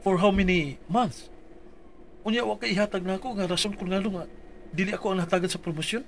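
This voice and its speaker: A man's voice: high-pitched, fast, a little hiss or hum. A man reading out his dramatized complaint letter for a radio advice show, earnest and pleading.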